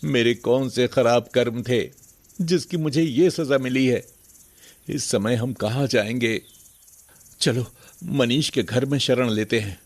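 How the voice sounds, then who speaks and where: A man's voice: medium pitch, slow. A man playing a humbled, envious family man in a moral storybook tale, speaking earnestly and pleadingly. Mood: distressed. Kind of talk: scripted moral storybook acting.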